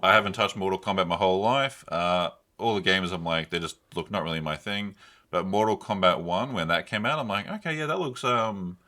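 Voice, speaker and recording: Deep-voiced young man chatting casually. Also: brisk, sharp tone. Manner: rambling, slangy. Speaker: podcast co-host. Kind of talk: casual unscripted film and game review chat.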